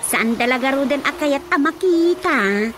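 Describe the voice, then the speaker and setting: An actress with an Ilocano accent playing a spirited, sharp-tongued young village woman in a radio drama comedy, with music behind her. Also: high voice, animated, measured pace. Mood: lecturing. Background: background music.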